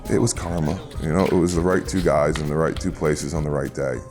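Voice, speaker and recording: A middle-aged man, a private pilot, telling his story in an interview with a reflective, halting way of speaking. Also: very deep voice, steady tone, over music. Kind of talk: interview recounting an event.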